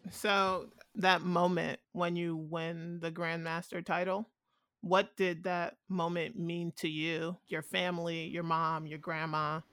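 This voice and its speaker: Calm, low-voiced woman hosting a podcast. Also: smooth, no echo, clean studio sound. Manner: calm, measured, gently questioning. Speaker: podcast host and interviewer.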